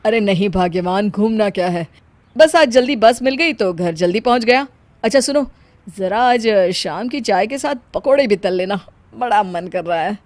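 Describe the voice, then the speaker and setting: A woman's voice: mid-range voice, expressive, moderate pace, darkish tone, no echo, natural voice. A woman voicing a mild, easygoing adult husband in a cartoon, with a placid, coaxing manner.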